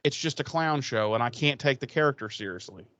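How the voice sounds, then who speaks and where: A man's voice: medium pitch, animated, fairly quick, fairly bright tone, dry recording, highs cut off. Blunt man, close dry sound. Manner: blunt, emphatic, foul-mouthed. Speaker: podcast co-host. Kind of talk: casual opinionated commentary.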